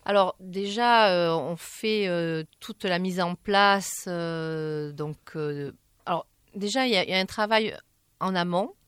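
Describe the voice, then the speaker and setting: A woman with a lowish, smooth voice talks through her answers in a radio interview. She hesitates often and restarts her sentences. The studio sound is close and dry. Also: fairly bright tone, no room sound. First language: French. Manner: halting, many fillers and restarts. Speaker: singer, interview guest.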